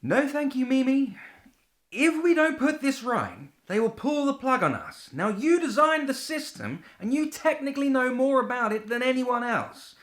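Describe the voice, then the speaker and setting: A woman's voice: high-pitched, very animated, normal speed. A woman playing a polite but harried British tech company employee in a radio play, earnest and exasperated in manner. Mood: exasperated, urgent.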